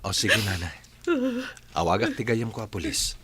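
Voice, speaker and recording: A man with an Ilocano accent playing a gentle, considerate gentleman in a radio drama. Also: on the low side, moderate pace, breathy edge, full character voice. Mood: gentle, concerned. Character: gentle, considerate man consoling an older woman.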